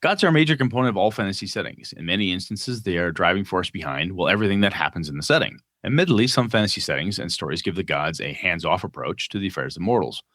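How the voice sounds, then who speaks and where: A man with a low, bright and forceful voice co-hosts a casual gaming podcast. He reads listener mail aloud and breaks off for jokey asides. The close mic sounds dry. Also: expressive, quick pace, few pauses, no echo.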